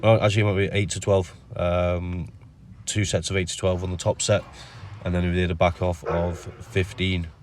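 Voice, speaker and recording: A man with a nasal voice from a cold, explaining his gym workout in a relaxed voice-over full of ums. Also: sits low, moderate pace, slight background noise. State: has a cold. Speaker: bodybuilder narrating his workout. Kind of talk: casual voice-over commentary.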